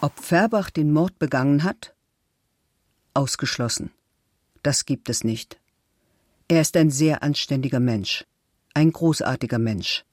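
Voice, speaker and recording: Questioned man, emphatic loyal witness, documentary testimony, rather high voice, dry sound. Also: expressive, a little slow, pauses often, no room sound. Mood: firm, loyal. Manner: emphatic, short declarative sentences.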